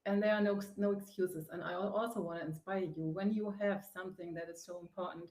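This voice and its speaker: A woman's voice: fairly low pitch, steady tone, fairly quick, a little mellow. German-accented woman, earnest, echoey room. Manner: earnest, searching for words, frequent hesitations. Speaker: host, face yoga founder. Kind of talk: inspirational live-stream talk. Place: sitting on a sofa.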